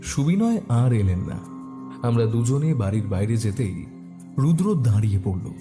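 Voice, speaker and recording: A man narrates a scripted detective story in the first person. His voice sits at a medium pitch, with a prominent music bed running behind it. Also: big pitch swings, moderate pace.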